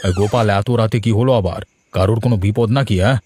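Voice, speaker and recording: A man playing a generous, easygoing village gentleman in a cartoon, speaking in an unhurried, placating way. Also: lowish voice, husky. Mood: concerned. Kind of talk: Bengali cartoon dialogue. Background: background music.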